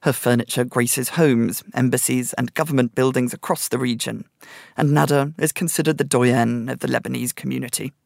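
A man presenting a feature, asking interview questions and reading scripted narration in a measured, polished way. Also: mid-range voice, steady tone, vocal fry, darkish tone, dry recording, clean studio sound.

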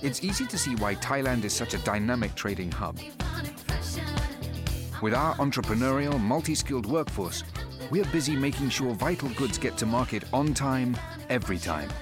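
A man's voice: medium pitch, animated, normal speed. A man reading a scripted advert voice-over in an upbeat way. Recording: voice-over over loud music.

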